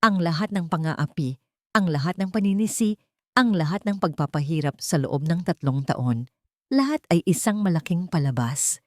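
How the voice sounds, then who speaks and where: A woman with a Filipino accent reading a scripted love story as a narrator, in a dramatic, deliberate way. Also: low voice, darkish tone, no echo, studio quality.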